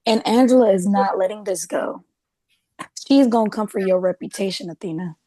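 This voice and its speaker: A woman's voice: mid-range voice, normal speed, mellow, no echo, lightly acted. A woman in a table read playing a gossipy, conversational female office assistant in a drama. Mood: anxious. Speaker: actor in a script table read. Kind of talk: dramatic script reading.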